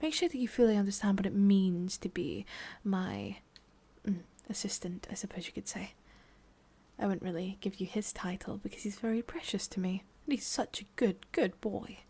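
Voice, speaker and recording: A woman with an English accent playing a cruel, condescending female boss in an ASMR roleplay, murmuring with drawn-out pauses. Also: mid-range voice, expressive, creaky, warm tone, slightly rough. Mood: condescending, controlled.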